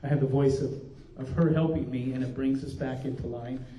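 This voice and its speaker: A man's voice: mid-range voice, fairly even intonation, fairly quick, warm tone, a little hiss or hum. An older man, a pastor, giving an earnest, folksy workshop talk with frequent restarts. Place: conference room. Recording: dull, roomy recording from a distance.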